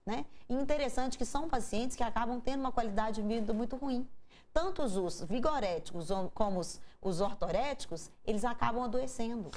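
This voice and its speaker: A woman's voice: a little high, fairly quick, a little mellow. A woman doctor with a Minas Gerais Portuguese accent, explaining health topics in an interview with examples and rhetorical questions. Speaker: doctor guest.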